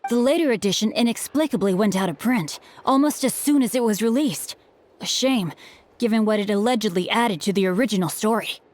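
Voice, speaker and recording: A woman's voice: medium pitch, fairly quick, darkish tone, a little hiss or hum, lightly acted. A woman voicing a polite, studious and chivalrous young male swordsman in a video game, speaking in an eloquent, formal, theatrical way. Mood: earnest.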